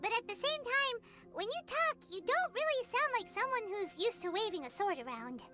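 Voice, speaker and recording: A woman voicing a small, cheerful, inquisitive fairy companion in a video game, with a squeaky childlike voice and chirpy, plain-spoken delivery. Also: sing-song, moderate pace, loud music behind the voice. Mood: blunt, surprised. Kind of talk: video game character dialogue.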